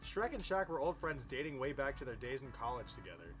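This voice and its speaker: A man's voice: mid-range voice, sing-song, brisk. Amused man reading aloud over music. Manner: amused, incredulous, breaks off to comment. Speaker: reader of a fan fiction story. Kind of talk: cold storybook-style reading with amused asides. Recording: music playing behind the voice.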